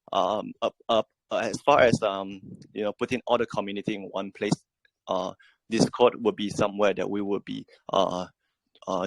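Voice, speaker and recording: A man whose first language is Japanese, answering questions in an online AMA as a project team member, halting and full of fillers. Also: on the low side, gravelly, dry recording, poor audio. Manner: halting, many fillers and restarts.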